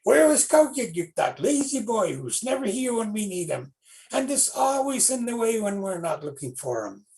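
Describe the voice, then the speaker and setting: A man voicing a bossy, mean village man who yells and scolds in a shrill voice. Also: sing-song, moderate pace, gravelly, recorded from a distance, full character voice. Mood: angry yelling.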